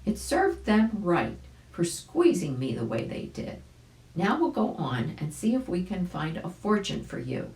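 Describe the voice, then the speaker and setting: A cheerful, chatty doggy sidekick, voiced by a woman reading a storybook. He is earnest and friendly, with very lively pitch, heard in a roomy space. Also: measured pace. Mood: grateful, chatty. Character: grateful, chatty male dog companion. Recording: roomy home recording.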